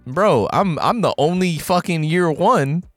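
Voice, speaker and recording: A man's voice: a little high, animated, unhurried, soft background music. A man co-hosting a podcast in casual chat, swearing freely and acting out scenes he recaps. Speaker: podcast co-host.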